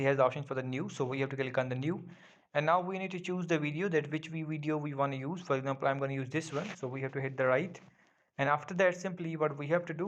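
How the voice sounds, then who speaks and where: A man narrating a step-by-step app tutorial as its host, with repetitive, instructional phrasing. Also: a little high. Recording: dull lo-fi voice-over.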